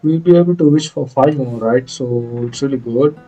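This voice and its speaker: A man hosts a casual gameplay video as a non-native English speaker. His explanations are halting and wordy, in a medium-pitched voice, with faint music underneath. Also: lo-fi sound. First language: not English. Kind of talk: casual playthrough commentary. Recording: faint game music behind commentary.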